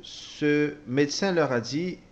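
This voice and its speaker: A man interpreting a personal testimony, speaking haltingly with repeated words and self-corrections. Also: a little high, muffled recording. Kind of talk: spoken translation of a personal testimony.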